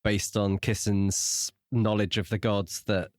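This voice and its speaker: Low-voiced man in measured book discussion. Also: flat delivery, dry recording, clean studio sound. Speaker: podcast co-host.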